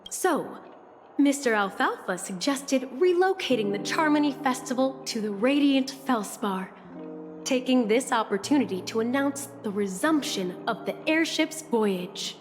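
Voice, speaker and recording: A composed female informant in a video game. She explains in a measured, fairly high voice with long reverb and faint music underneath. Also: animated. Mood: composed, informative.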